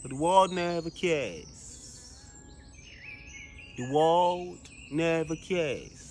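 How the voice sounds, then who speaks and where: A man performing a reflective, dramatic spoken-word monologue in a solemn, deliberate manner with long pauses. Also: fairly high pitch, lively intonation, darkish tone, a little hiss or hum.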